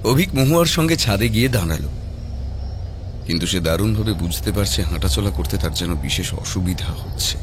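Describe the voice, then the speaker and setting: A man narrating a scripted horror story dramatically, with suspenseful, measured phrasing. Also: low-pitched, animated, noisy background. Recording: loud music behind.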